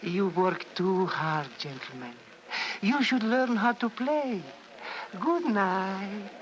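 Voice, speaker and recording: An actor in an old radio drama playing a wily, fawning guide and scoundrel with florid, ingratiating sales patter. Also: male voice, high voice, expressive, takes it slowly. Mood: wheedling. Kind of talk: 1940s radio acting. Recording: muffled, slightly noisy old radio broadcast.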